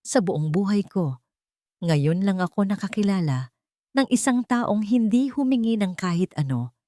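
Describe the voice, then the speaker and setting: A woman voicing a proud, guarded male billionaire sheikh in a romance story, speaking deliberately. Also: medium pitch, animated, mellow, close to an everyday voice. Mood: earnest, remorseful.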